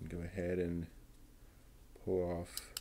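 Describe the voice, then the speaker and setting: Slow, low-voiced man building a model. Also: monotone, mellow, slight background noise. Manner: unhurried, thinking aloud, muttering to himself. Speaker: livestream host building a model kit. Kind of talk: casual live commentary while assembling.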